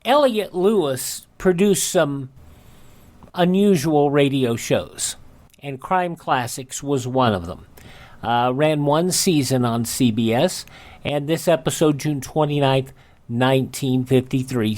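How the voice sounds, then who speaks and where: A man's voice: mid-range voice, darkish tone. A man hosting a radio show, giving a courteous, folksy scripted sign-off with casual asides. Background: music.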